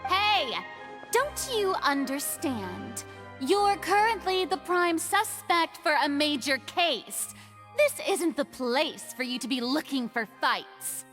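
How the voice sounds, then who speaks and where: A woman's voice: high voice, lively intonation, slow pace, a bit bright, soft background music, full character voice. A woman voicing a haughty, theatrical, easily flustered young goddess in an anime-style game. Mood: indignant.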